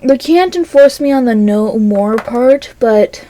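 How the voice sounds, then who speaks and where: A woman recording a casual, rambling audio log monologue in a hesitant, halting way that trails off between phrases. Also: fairly high pitch, animated, dark tone, rough recording.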